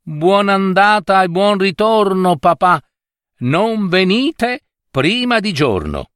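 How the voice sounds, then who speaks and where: A man's voice: sits high, very animated, slow, a bit bright, no room sound, studio quality, pitched above the performer's own voice, heavily acted. A man voicing an ogre's kind, anxious, wily young daughter in a fairy tale, pleading and coaxing.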